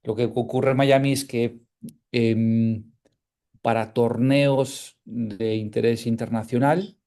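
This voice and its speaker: A man's voice: mid-range voice, fairly even intonation, slow, dark tone. A man from Canada, a sports journalist speaking as a seminar guest, hesitant with frequent fillers, restarts and tag questions. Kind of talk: conversational seminar talk.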